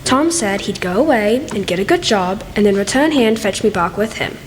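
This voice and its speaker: A woman's voice: medium pitch, expressive, fairly quick, close to an everyday voice. A woman acting in an amateur radio play as a forlorn, bitter young maid, with a plaintive, wounded manner. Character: young servant girl, forlorn deserted secret wife, bitter. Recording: echoey hall with faint noise.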